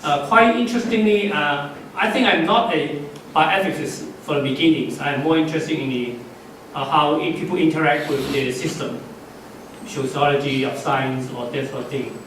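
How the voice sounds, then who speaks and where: A man's voice: on the high side, fairly bright tone. Cantonese-native man, far off in an echoey hall. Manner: halting, many fillers and restarts. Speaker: conference speaker. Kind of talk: unscripted closing remarks. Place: conference hall. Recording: echoey hall, far from the mic, faint noise.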